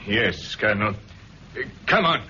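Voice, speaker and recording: A surly armed guard, curt and sullen, with a bright, lively voice. The muffled old radio recording carries a faint hiss. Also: male voice, lowish voice, unhurried, exaggerated voice. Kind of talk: 1960s radio drama acting.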